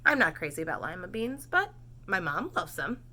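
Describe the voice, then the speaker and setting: A woman teacher reading a storybook to preschoolers, expressive and dramatic, pausing for simple questions and explanations. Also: fairly low pitch. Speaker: preschool read-aloud teacher. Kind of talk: children's storybook narration with asides and questions.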